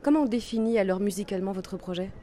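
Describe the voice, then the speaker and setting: Mellow-voiced woman asking questions. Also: mid-range voice, a little hiss or hum. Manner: measured questions with fillers. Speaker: interviewer. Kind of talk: festival interview.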